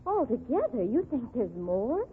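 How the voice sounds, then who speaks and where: A woman's voice: on the high side, very animated, unhurried, slightly rough, exaggerated voice. An actress playing an earnest, polite young store clerk who protests her honesty, in old-time radio drama style. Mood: frightened, indignant. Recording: muffled phone-like old radio recording.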